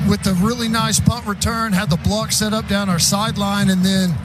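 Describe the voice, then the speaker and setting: A man's voice: high-pitched, steady tone, normal speed. A man giving enthusiastic colour commentary on a live football radio broadcast. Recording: loud music over radio broadcast.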